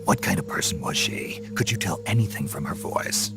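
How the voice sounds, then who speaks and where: An actor playing a gruff, battle-worn soldier in a video game cutscene, calm and laconic, with a gravelly rasp. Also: male voice, normal speed, whispered. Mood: calm, probing. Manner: laconic, low-key. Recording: loud music under the voice. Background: loud music.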